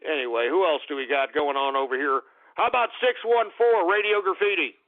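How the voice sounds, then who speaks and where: A male radio host taking calls on a call-in show, ranting and shouting exclamations. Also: on the high side, fairly quick. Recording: lo-fi narrow-band broadcast with faint music.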